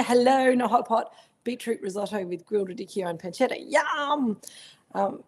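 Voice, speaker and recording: A middle-aged Australian woman speaks into her laptop mic at home, hosting a live online tasting. Her voice sits at a mid pitch with a gravelly, croaky edge. She is chatty and friendly, calling out viewers by name between remarks. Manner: chatty, greets viewers by name, warm asides. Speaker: winemaker hosting a virtual tasting. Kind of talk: casual livestream chat.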